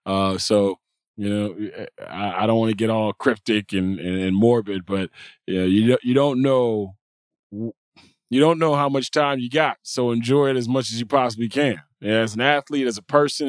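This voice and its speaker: A middle-aged American man hosting a talk show, chatting casually in a slangy, jokey, animated storytelling way. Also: mid-range voice, no room sound, clean studio sound. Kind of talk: casual storytelling chat with a co-host.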